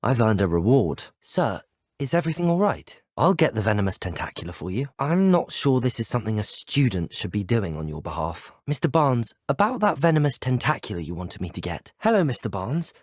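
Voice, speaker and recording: Video game hero: British schoolboy wizard, courteous and curious, husky, animated intonation. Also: male voice, medium pitch, a little fast, dry recording, clean studio sound. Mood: courteous, earnest. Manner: polite, well-spoken, formal. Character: polite, curious, brave teenage student wizard. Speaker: video game player character. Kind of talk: scripted video game dialogue.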